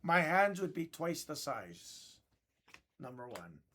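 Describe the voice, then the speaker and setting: A man's voice: a little high, big pitch swings, takes it slowly, fairly bright tone. A man from Oahu with a Hawaiian accent, hosting a live card break and chatting easily with viewers while he opens packs. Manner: chatty, teasing, easygoing banter. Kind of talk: live stream chat while opening cards.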